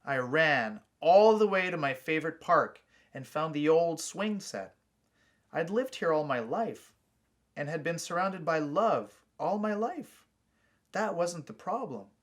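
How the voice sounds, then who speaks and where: A man's voice: fairly high pitch, animated, a little slow. A man reading his own short story aloud as a scripted first-person narration.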